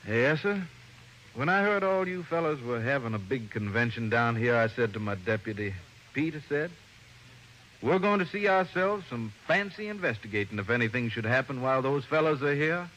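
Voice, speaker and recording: A man's voice: medium pitch, normal speed, fairly bright tone, lightly acted. An American actor playing a folksy, shrewd small-town lawman in a 1950s radio drama, with sly mock humility. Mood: sly, teasing. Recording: faintly noisy old radio recording.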